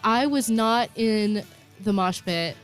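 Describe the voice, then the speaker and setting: A young woman with a hoarse voice chats loosely in a college radio studio, giggling and dropping slang. Faint music plays softly behind her. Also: medium pitch, takes it slowly. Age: young adult. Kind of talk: casual chat.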